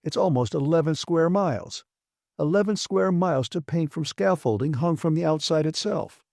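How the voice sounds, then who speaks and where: A defiant, exasperated maintenance chief in a science fiction audiobook, as a man acts him out. He argues bluntly and emphatically in a raised voice, gruff in manner, recorded very dry. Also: on the high side, animated, normal speed, darkish tone, no room sound, pitched above the performer's own voice. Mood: dismissive, insistent. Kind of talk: dramatised audiobook reading.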